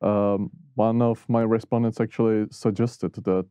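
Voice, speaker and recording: Hesitant male interviewer, mellow low voice. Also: fairly even intonation, measured pace, clean tone, no room sound. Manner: hesitant, many fillers, careful phrasing. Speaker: podcast interviewer, researcher. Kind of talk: interview questions.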